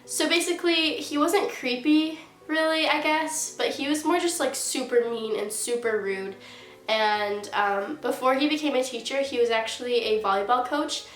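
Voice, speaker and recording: A woman's voice: sits high, normal speed, a bit bright. Stuffy-nosed teen girl telling a story. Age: late teens. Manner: chatty, heavy use of "like". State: stuffy nose from a sinus infection. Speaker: YouTuber. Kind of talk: casual storytime vlog. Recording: roomy echo.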